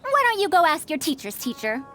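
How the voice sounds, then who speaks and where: A woman playing a crafty, long-lived scholar in a video game, airy and unhurried, with playfully formal diction. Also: high voice, very animated, fairly bright tone, faint music behind. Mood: smug bluffing.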